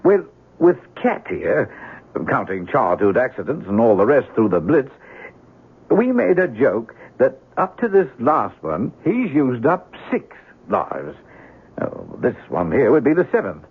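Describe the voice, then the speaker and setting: A man's voice: medium pitch, huge pitch swings, unhurried, breathy edge, exaggerated voice. An actor in an old-time radio drama playing a loyal, chatty airman with a folksy, slangy manner. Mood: folksy, earnest. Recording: tinny narrow-band old radio recording.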